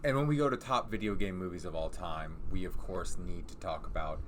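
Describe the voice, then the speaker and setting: A man's voice: deep voice, brisk, a bit bright, a little hiss or hum. A man hosting a casual, unscripted countdown video at home, rambling and correcting himself as he goes. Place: room at home.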